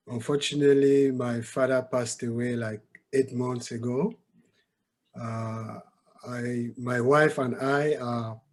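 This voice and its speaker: A man from Cameroon, a guest on an online show, answering politely and haltingly with many fillers. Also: mid-range voice, darkish tone. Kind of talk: interview answers.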